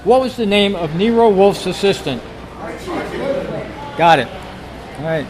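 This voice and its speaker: A man with a notably high voice acts as quizmaster at a club meeting. He addresses the members live, announcing and calling out to them. It is an amateur recording made in the meeting room. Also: expressive, a little slow, crowd noise, low-quality recording. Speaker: club quiz host.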